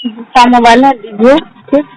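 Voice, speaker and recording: A woman's voice: fairly high pitch, fairly even intonation, normal speed, darkish tone, slight background noise. Curt young woman on a phone call. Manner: curt, refusing. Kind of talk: private phone argument.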